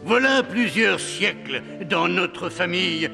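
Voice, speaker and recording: An actor playing a scheming, vengeful king and domineering father in a fairy-tale cartoon, gravelly and theatrically pompous. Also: sits high, lively intonation, slow pace, full character voice. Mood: pompous, ceremonious. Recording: hall reverb, loud music behind.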